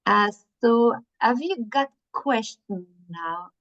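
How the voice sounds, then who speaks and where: A woman's voice: fairly high pitch, lively intonation, slow pace, fairly bright tone, highs cut off. A woman from France with a French accent, presenting at an online workshop with many fillers and restarts. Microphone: video call.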